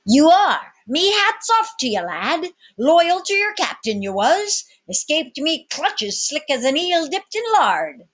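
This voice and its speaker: A sly storybook tavern keeper, played by a woman reader, lifted well up in pitch. He is a cheeky rogue talking in rough, folksy dialect. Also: big pitch swings, slow, hardly any pauses, fairly bright tone, exaggerated voice. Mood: sly admiration.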